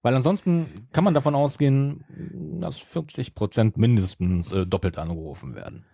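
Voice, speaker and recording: A man's voice: medium pitch, expressive, moderate pace, gravelly, warm tone, breathy edge, no room sound, studio quality. A middle-aged man hosting a podcast in casual chat, explaining and qualifying his points. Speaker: podcast host. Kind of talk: casual chat about an audio drama series.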